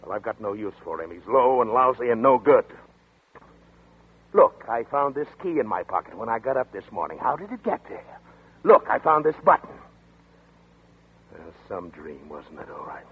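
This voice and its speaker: An actor playing a tough, contemptuous detective with a husky, clipped delivery in a 1940s radio drama. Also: male voice, mid-range voice, sing-song, normal speed, long pauses, exaggerated voice. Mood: angry, contemptuous. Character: hard-nosed tough detective brother-in-law. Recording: tinny lo-fi 1940s radio broadcast.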